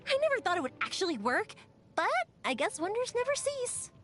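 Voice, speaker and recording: Casting: bubbly young female game character, very high voice, swooping animated delivery, playful storyteller, excitable and cheerful. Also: brisk, soft background music, heavily acted. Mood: playful wonder. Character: cheerful, excitable young woman firework maker. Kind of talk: video game character voice acting.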